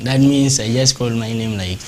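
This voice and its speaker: A man's voice: mid-range voice, normal speed, a little mellow, poor audio. A man from Sudan, giving brief, hesitant answers as a music guest in an interview.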